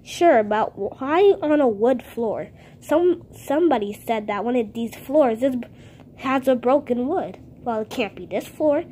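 A woman's voice: fairly high pitch, expressive, unhurried, dark tone, slight background noise. A woman chatting back and forth as her paper cutouts at a home table, recorded on a close phone. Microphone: handheld phone camera close to table.

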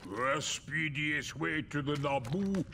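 Gravelly pompous frog-alien boss in a cartoon game, slow and blustery, noisy ambience. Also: male voice, fairly high pitch, expressive, pushed and bright, heavily acted. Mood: haughty. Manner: stately broken dialect. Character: pompous, gruff amphibian alien ruler. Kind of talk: animated video game cutscene acting. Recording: game ambience noise behind.

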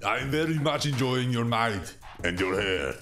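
A man's voice: mid-range voice, expressive, a little slow, lightly acted. A man voicing an earnest, indignant retired killer robot in a stiff, declamatory manner for a comedy review skit. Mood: earnest, formal. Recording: music playing behind the voice.

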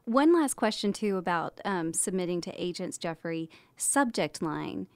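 A woman's voice: fairly low pitch, lively intonation, a little slow, no room sound. A woman hosting a podcast, asking questions in a casual, conversational interview style.

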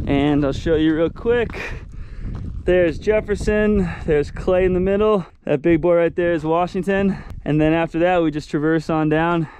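A man's voice: fairly high pitch, darkish tone, a little hiss or hum. Laid-back young man vlogging on a mountain. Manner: laid-back, chatty, laughs often. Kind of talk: casual hiking vlog. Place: outdoors on a windy rocky mountain summit. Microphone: action camera held at arm's length.

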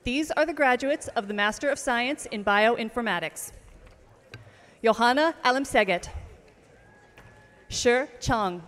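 Slow, careful woman reading names. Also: a little high, a bit bright. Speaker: name reader at commencement. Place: outdoor ceremony stage. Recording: long reverb of outdoor PA.

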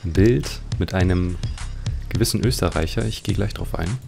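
A man's voice: low-pitched, normal speed, vocal fry. A man hosting a let's play with casual, musing commentary and wry understatement. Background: game music.

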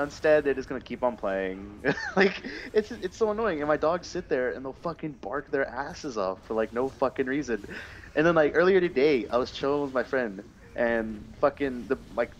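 A man's voice: mid-range voice, lively intonation, normal speed, highs cut off, slight background noise. A young adult man chatting casually while gaming, griping and rambling with plenty of swearing. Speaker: gamer telling stories while playing. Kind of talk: casual chat during online gameplay.

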